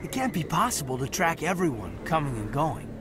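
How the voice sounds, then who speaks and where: An actor playing a thoughtful, awed junior officer in a video game, speaking earnestly. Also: male voice, a little high, sing-song, a little fast, background noise. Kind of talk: video game cutscene acting.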